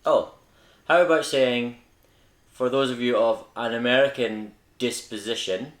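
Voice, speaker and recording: A man's voice: mid-range voice, fairly even intonation, measured pace. A young Scottish man hosting a hobby video, chatting casually and rambling a little as he corrects himself. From Scotland. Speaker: hobby channel host. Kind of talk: casual unscripted vlog talk.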